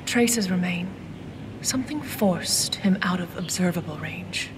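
An actress playing a mysterious, ominous watcher of dreams in a fantasy audio drama, speaking in a cryptic, deliberate way. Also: female voice, on the low side, background noise, natural voice. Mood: grave.

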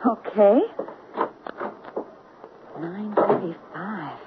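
An actress playing a loyal, anxious office secretary, earnest and urgent, in a radio drama. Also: female voice, medium pitch, extremely slow. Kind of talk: 1970s radio drama acting. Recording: dull lo-fi 1970s radio broadcast, hissy.

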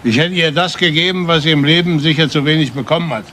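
A man's voice: on the high side, fast talker, pushed and bright, slight background noise. An actor playing a bitter, defiant older father in a German crime radio play, speaking curtly and repeating himself for emphasis. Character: bitter, defiant older family patriarch under police questioning.

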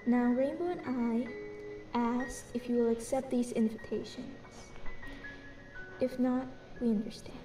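Woman playing an earnest high-voiced pony princess. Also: fairly even intonation, unhurried, over music, natural voice. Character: earnest, affectionate young female unicorn princess pony. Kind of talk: fan audio drama acting. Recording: echoey room.

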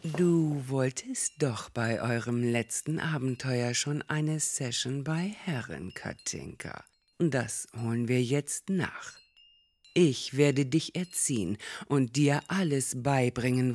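A man's voice: mid-range voice, animated, slow pace, darkish tone. A man playing a domineering, haughty old female fortune-teller in a German comedy audio drama, lecturing imperiously. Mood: stern, commanding.

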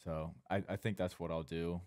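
A man's voice: deep voice, level tone, normal speed, a little mellow, no room sound, studio quality. A young man talking casually as a college student guest on a podcast. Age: young adult. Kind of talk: casual chat. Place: at a dining table in a home. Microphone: headset.

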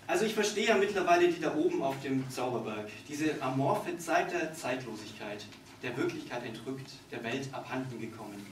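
A man in his thirties giving a deliberate, dramatic literary reading of his own satirical monologue on stage. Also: mid-range voice, expressive. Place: on stage in a small dark theatre. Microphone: distant camera in the audience. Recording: distant, echoey hall with background noise.

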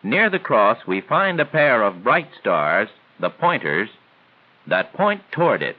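A man reads scripted 1940s training film narration in a measured, formal, instructional way. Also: on the low side, big pitch swings. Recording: tinny band-limited 1940s film soundtrack.

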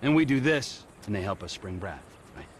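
An actor playing a volatile, unhinged middle-aged criminal in a video game, mocking and swearing in a manic way. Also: male voice, fairly low pitch, expressive, brisk, a little hiss or hum, lightly acted. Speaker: game character.

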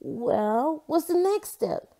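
An eager young storybook boy, acted out by a woman reader, wide-eyed and full of questions. The voice is lifted in pitch and swings around with excitement. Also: slow pace, full character voice.

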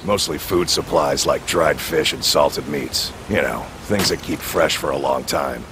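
A man's voice: rumbling low, unhurried, background noise. A male actor voicing a kindly, weary older courier in a game, plainly spoken. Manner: folksy, plain, good-natured.

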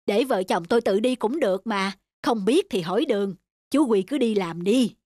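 A woman playing a humble, generous older country relative in an animated family drama, homely and reassuring. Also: mid-range voice, moderate pace, dry recording, studio quality, close to an everyday voice. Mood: cheerful.

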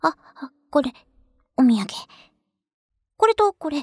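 Very high-pitched girlish warship girl, gentle, bashful, slow, soft, anime game lines. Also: sing-song, dry recording, clean studio sound, heavily acted. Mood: shy, grateful.

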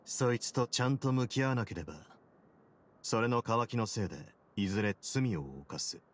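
Casting: male actor as a confident fortress ruler for a game, mid-pitched, informal yet measured in his explanations. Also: animated, lightly acted. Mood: assured, reflective. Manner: casual, assured, measured philosophical explaining. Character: self-assured, shrewd duke who runs a prison fortress. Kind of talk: game dialogue acting.

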